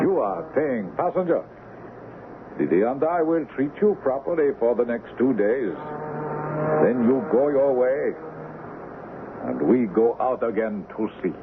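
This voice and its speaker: An actor playing a sly, menacing sailor in a 1970s radio drama, speaking in measured, fatalistic, stilted phrases. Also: male voice, mid-range voice. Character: sly, menacing sailor claiming dark power and command. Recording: noisy, tinny old radio broadcast.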